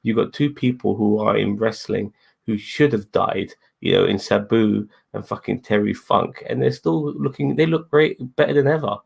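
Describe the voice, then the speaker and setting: A man's voice: fairly low pitch, normal speed, gravelly, darkish tone, husky. A man co-hosting a casual wrestling review, rambling, repeating himself and swearing freely. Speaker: co-host.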